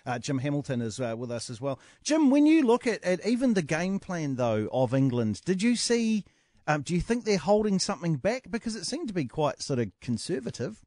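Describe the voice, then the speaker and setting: Relaxed New radio host, dry. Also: male voice, on the high side, a little fast, dry recording. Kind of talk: radio interview.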